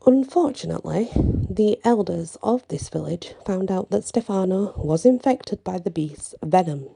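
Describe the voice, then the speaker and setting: A woman narrating a bedtime fantasy story in ASMR style, hushed and breathy, often slipping toward a whisper. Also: mid-range voice. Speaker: storyteller reading own fantasy fiction.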